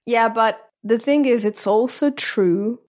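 A woman's voice: a little high, slow pace, darkish tone. A woman co-hosting a podcast, chatting casually in a wry manner. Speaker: podcast co-host. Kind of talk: casual chat.

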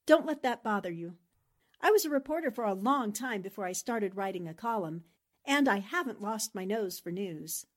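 Woman acting a sharp, lively 1940s newspaperwoman. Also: medium pitch, normal speed, natural voice. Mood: inquisitive. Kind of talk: 1940s radio drama acting.

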